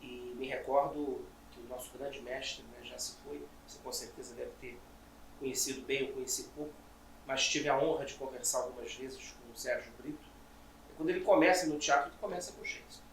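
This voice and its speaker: A man's voice: mid-range voice, steady tone, fairly quick, gravelly, a little hiss or hum. A man hosting an interview, asking long, admiring questions in a conversational tone. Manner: warm, admiring, asks long rambling questions. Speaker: interviewer, host. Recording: roomy echo, distant mic, faint ambience.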